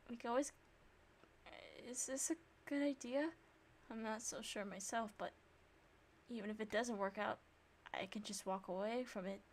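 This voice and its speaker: A woman streamer reading visual novel narration and dialogue aloud, with sarcastic, expressive reactions. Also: mid-range voice, a little hiss or hum.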